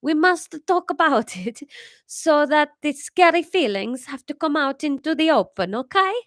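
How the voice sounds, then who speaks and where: A woman voicing a warm, earnest mother with an Italian accent, reading her story aloud. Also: high voice, animated, normal speed, no echo, studio quality, voice pushed up. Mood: reassuring. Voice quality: shaky, overdone accent attempt.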